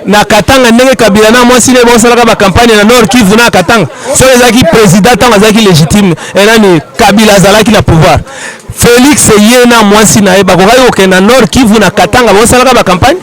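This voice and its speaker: Congolese male, high voice, argumentative political commentator who leans on rhetorical questions. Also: fast, fairly bright tone, rough recording. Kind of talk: impassioned street political commentary.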